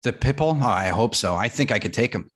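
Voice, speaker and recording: A man's voice: on the low side, lively intonation. A man playing an awkward, defiant guy turning dog-like, stammering in a comedy table read. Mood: uneasy. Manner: stammering, halting.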